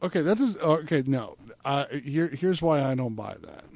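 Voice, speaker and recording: A man's voice: fairly high pitch, animated, moderate pace, a little mellow, no room sound. A man on a studio podcast panel, arguing skeptically in casual, joking, crude banter.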